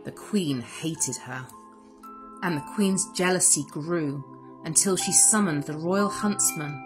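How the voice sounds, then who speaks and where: A woman reads a children's tale aloud as host. Her delivery is expressive and unhurried, at a medium-low pitch for a woman or near the middle, with music behind her. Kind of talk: expressive read-aloud story narration.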